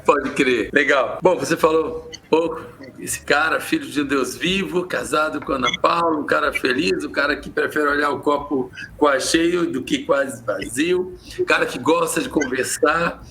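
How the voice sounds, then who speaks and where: Male podcast host, friendly interviewer, slightly high and bright, faint noise behind. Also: normal speed. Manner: friendly, summarizing the guest's answers. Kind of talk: interview questioning.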